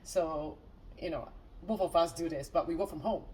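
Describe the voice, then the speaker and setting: A woman's voice: sits low, normal speed, a little mellow, far from the mic, slight background noise, rough recording. A woman from Singapore as a guest in a casual interview chat, upbeat and friendly with frequent fillers.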